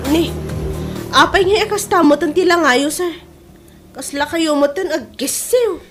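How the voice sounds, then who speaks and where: High-voiced loyal campaign aide. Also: female voice, a little slow, over music. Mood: consoling. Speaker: radio drama actor. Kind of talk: Ilocano radio comedy drama acting.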